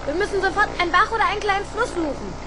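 A woman's voice: very high voice, very fast, background noise. A woman playing an eager, curious young boy inventor with a childlike, earnest manner in a children's radio play. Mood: eager, clever. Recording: loud vehicle drone behind.

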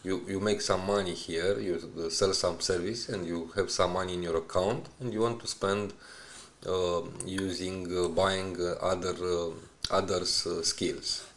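A man who is a non-native English speaker, talking haltingly and searching for words as he narrates an unscripted website walkthrough. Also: low voice, fairly even intonation, a bit bright, a little hiss or hum. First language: not English. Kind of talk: unscripted screen-recorded walkthrough.

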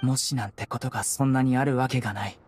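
A man's voice: medium pitch, steady tone, fast, a little mellow, slight background noise, lightly acted. An actor playing a stern, composed young male investigator in a Japanese game, with a grave, restrained delivery. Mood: firm. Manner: grave, restrained, matter-of-fact. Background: plucked-string background music.

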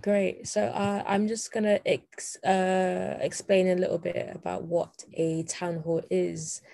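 A woman's voice: on the low side, little pitch movement, slow pace, gravelly, mellow, dry recording. A woman facilitating a community meeting over a video call, speaking with frequent hesitations. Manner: hesitant, many ums.

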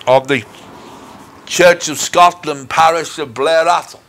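A middle-aged man preaches his personal testimony in a fairly high voice for a man. He speaks very slowly and deliberately, with earnest, measured phrasing. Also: fairly bright tone. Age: middle-aged or older. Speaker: minister. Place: indoors in front of a dark curtain. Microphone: lapel mic.

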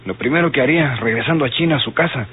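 An actor playing an argumentative, doubtful outlaw sidekick in a radio drama, husky, with a northern Mexican accent. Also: male voice, mid-range voice, rapid-fire, a little hiss or hum, close to an everyday voice. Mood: worried, objecting. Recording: tinny, narrow old radio recording.

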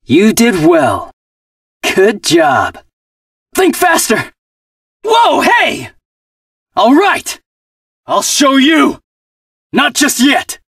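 Cocky game fighter in wildly swinging bursts. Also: male voice, very high-pitched, wildly swooping pitch, extremely slow, long pauses, no room sound, studio quality, recorded from a distance, heavily acted. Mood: confident. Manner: short punchy exclamations and combat shouts. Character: cocky, determined armored male fighting hero. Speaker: video game voice actor. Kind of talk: video game battle barks.

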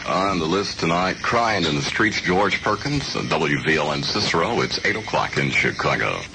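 A man's voice: low voice, expressive, normal speed, noisy background. A man announcing on 1970 music radio, with music behind him on an old AM aircheck. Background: loud music.

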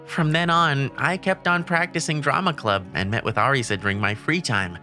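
A woman's voice: rumbling low, moderate pace, bright, forceful tone, faint music behind. A woman voicing a shy teenage boy who narrates the story and stammers when flustered, in a manga dub. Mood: narrating. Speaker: narrator and lead character.

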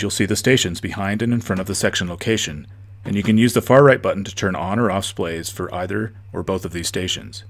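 A man giving a steady, methodical, scripted narration for a software tutorial. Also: deep voice.

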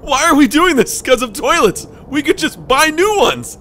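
A man's voice: extremely high pitch, animated, moderate pace, bright, forceful tone, a little hiss or hum, pitched above the performer's own voice, exaggerated voice. A man voicing a melodramatic, worried game character in a theatrical, exaggerated way. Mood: panicked.

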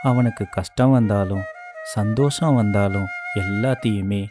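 A man voicing a patient, kindly male god in a storybook cartoon, speaking in a gentle, measured way. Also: fairly low pitch, close to an everyday voice. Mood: calm. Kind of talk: animated storybook voice acting. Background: flute music.

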